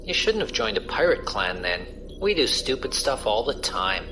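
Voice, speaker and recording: A man's voice: mid-range voice, very animated, moderate pace, music in the background, lightly acted. A man playing a casual, wry space pirate crewman who explains things colloquially in a science fiction audio drama. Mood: wry, resigned. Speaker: voice actor.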